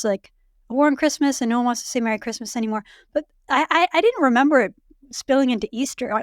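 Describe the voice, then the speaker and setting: Woman podcast host, raspy hoarse voice, fairly high, chatty filler-heavy talk, dry close sound. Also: no room sound. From Canada. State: heavily pregnant, voice going hoarse. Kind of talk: casual panel chat.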